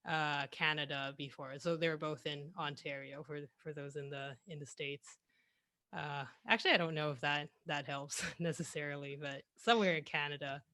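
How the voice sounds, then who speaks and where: Bright-toned young woman speaking into a headset on a video call, dry and close with little room sound. She talks in a chatty way, often restarting phrases and slipping in small laughs. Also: low voice, no room sound. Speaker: guest speaker. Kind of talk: presenting over a video call.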